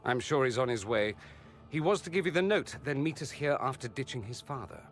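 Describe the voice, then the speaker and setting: An actor playing a witty, self-assured foreign mage in a video game, with an arch, flamboyant manner. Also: male voice, medium pitch, animated, brisk, soft background music, lightly acted. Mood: breezy, charming. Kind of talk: video game dialogue acting.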